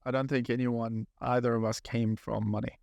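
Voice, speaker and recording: A man in his thirties with a New Zealand accent speaks at a middle pitch with a gravelly edge, earnest and reflective as he asks probing questions. The recording is close and dry, right at the mic. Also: little pitch movement, normal speed, darkish tone, no echo. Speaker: podcast host. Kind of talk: casual interview chat.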